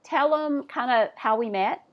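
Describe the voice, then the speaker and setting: A woman's voice: high-pitched, unhurried, lo-fi sound. A woman hosting a travel podcast, asking questions and chatting in a casual, conversational way. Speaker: podcast host. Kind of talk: casual interview.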